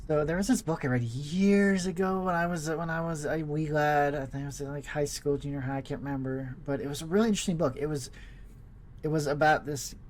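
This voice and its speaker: A man's voice: a little high, fairly quick, darkish tone, slight background noise. A man giving casual let's play commentary as host, rambling along in a laid-back, hesitant way. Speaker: gaming video host.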